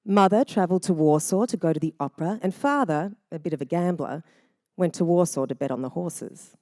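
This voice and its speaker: A woman narrating a family memoir in a measured, reflective first-person reading. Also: lowish voice, darkish tone, dry recording, clean studio sound.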